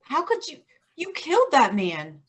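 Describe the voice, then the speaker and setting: A woman's voice: sits high, wildly swooping pitch, measured pace, full character voice. A woman playing an indignant, commanding vigilante who accuses others, in a staged play reading. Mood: outraged.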